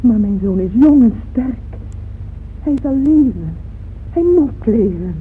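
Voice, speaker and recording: Anguished mother, remorseful confession, solemn slow radio drama delivery, thin noisy old recording. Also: on the high side, expressive, phone-quality sound. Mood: shocked, desperate. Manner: solemn, slow, intensely emotional delivery with whispers. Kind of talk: dramatic radio play monologue.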